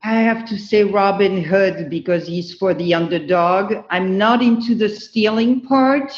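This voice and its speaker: A middle-aged woman from France, answering interview questions slowly and earnestly. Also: mid-range voice, echoey room, highs cut off. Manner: slow, thoughtful, earnest. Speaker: guest.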